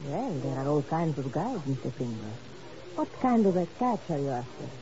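A woman's voice: sits low, very animated, moderate pace, warm tone. A woman playing a cool, guarded smuggler in a 1950s espionage radio drama, formal and precise in her speech. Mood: cool, cryptic. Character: guarded, cool female smuggler boat owner. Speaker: supporting actress in a radio drama. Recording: noisy, dull old radio recording.

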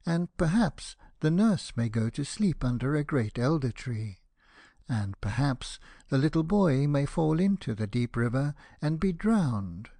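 A man's voice: mid-range voice, dark tone, no room sound, voice pushed up. A man voicing a pompous, self-obsessed firework rocket in a storybook, declaiming with indignation and self-pity. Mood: mournful, melodramatic. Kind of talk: dramatized storybook reading.

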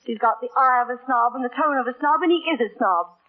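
A tinny old radio recording of a woman acting a clever young gentlewoman. She is arch and sardonic, proud and quick with a cutting reply, in the theatrical manner of 1940s radio drama. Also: on the high side, close to an everyday voice. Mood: scornful. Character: witty, proud, sharp-tongued young gentlewoman.

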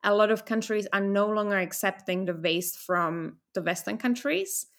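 A woman's voice: mid-range voice, normal speed, a bit bright. Czech-born woman in her thirties, telling stories. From Czech Republic. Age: 30s. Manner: thoughtful, storytelling, with restarts. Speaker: guest. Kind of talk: casual interview conversation.

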